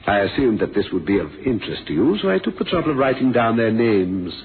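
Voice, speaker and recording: A man's voice: on the low side, very animated, fast talker, phone-quality sound. A man acting a prim, deferential managing director in a radio drama, formal and a little hesitant. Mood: prim disapproval. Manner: formal, hesitant, discreetly disapproving. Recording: thin band-limited old radio, noisy.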